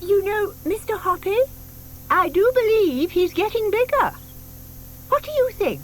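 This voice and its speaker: Doting older British lady, gushing, unhurried, very melodic, audio play, faint noise. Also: extremely high pitch, expressive. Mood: excited. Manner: gushing, cooing. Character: sweet, excitable older lady doting on her pet tortoise. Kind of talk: audio theatre acting. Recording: faint noise, 1990s tape dramatisation.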